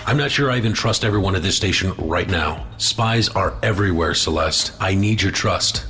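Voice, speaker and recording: A man's voice: sits low, normal speed, bright, forceful tone, faint music behind. An actor playing a stern, commanding male intelligence chief in an audio drama, firm and insistent. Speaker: door chief.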